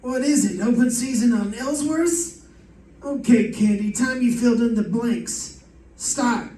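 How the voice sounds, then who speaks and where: A sardonic police detective acted by a man in an old-time radio mystery, bossy and clipped. The voice is strikingly high for a man, heard roomy and distant. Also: a little slow, mellow. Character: sardonic, gruff-talking male police detective. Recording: roomy, distant stage pickup.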